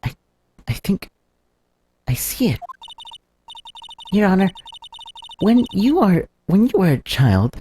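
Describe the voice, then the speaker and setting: A woman voicing a confident, commanding female defense mentor in a courtroom video game, assured and deliberate. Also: medium pitch, big pitch swings, warm tone, a little hiss or hum. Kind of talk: video game voice-over, read live.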